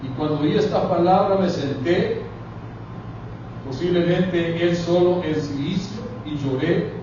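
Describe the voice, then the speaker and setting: A man's voice: high-pitched, unhurried. Man preaching a sermon in an echoey church. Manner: preaching with repeated direct address to the congregation. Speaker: pastor. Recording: echoey, distant, dull, noisy hall recording.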